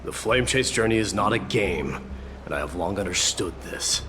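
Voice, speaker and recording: Proud stern warrior prince, low voice, formal, grave, restrained, video game drama, music behind. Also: male voice, expressive, normal speed. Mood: solemn, resolute.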